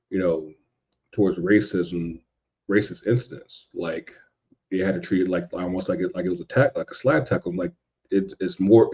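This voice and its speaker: A man in a casual podcast discussion, speaking haltingly and circling back over his points as a guest commentator. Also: low-pitched, warm tone. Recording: dull, lo-fi voice track.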